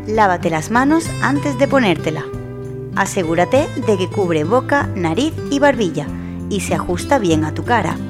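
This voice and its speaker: A woman reads a scripted public service announcement in a measured, instructive tone. Guitar music plays clearly underneath her voice. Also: medium pitch, lively intonation.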